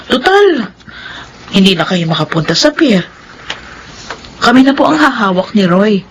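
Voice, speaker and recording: Business-minded adult daughter, mid-pitched, polite, radio drama, slight noise. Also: female voice, sing-song, a little slow, rough recording. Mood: polite, persuasive. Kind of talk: Filipino radio drama acting.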